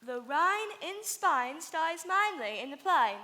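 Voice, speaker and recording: A woman's voice: high-pitched, very animated, slow pace, bright, forceful tone, big-room sound, heavily acted. A woman playing a cheeky Cockney flower girl who dreams of becoming a lady, speaking and singing in a stage musical. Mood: teasing. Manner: brash and cheeky, bursting into song.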